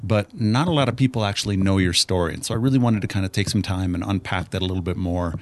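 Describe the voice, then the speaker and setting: A man's voice: low-pitched, fast talker, no echo. A man hosting a podcast, opening the show and asking his guest questions in an upbeat, conversational way. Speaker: podcast host. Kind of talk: podcast interview.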